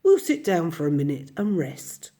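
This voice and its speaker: A woman voicing a cheerful, brisk, kindly male water rat in a slightly raised, mellow voice. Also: low voice, big pitch swings. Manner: cheerful, brisk, matter-of-fact.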